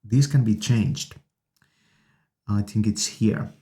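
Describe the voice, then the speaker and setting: Male gear-review host: low, soft-edged dark voice, casual and enthusiastic, speaking in halting phrases into a studio condenser. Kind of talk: casual product demonstration. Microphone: studio condenser microphones.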